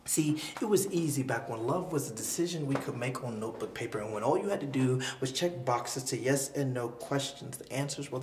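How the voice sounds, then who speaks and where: Male poet for spoken word piece: deliberate, dramatic phrasing, mid-range voice, roomy echo, slight noise. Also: mid-range voice, darkish tone. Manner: dramatic, deliberate delivery with pauses and emphatic swells. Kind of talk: spoken word poetry performance.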